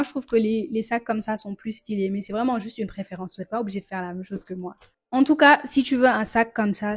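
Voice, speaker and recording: Chatty young woman, lightly higher voice. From Senegal. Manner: chatty and enthusiastic, mixing in English words. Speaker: fashion vlogger. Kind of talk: casual vlog talk to camera.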